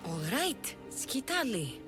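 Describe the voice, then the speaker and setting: A woman's voice: high voice, big pitch swings, slow pace, fairly bright tone, soft background music, exaggerated voice. A woman playing a confident, skeptical mercenary heroine in a video game, dry and teasing. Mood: wry, teasing. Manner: dry, teasing, self-assured. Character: wry, confident, skeptical female ancient Greek mercenary heroine. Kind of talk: dramatic video game acting.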